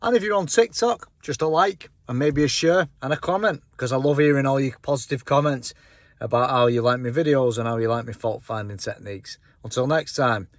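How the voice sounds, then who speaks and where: A middle-aged man, a car mechanic, talking casually while he works through a fault, his voice hoarse and fading, with his phrases trailing off quietly. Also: mid-range voice, a little fast. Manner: chatty, thinks aloud, trails off quietly at the end of phrases. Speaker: car mechanic, auto electrician. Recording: noisy workshop with vehicle sounds.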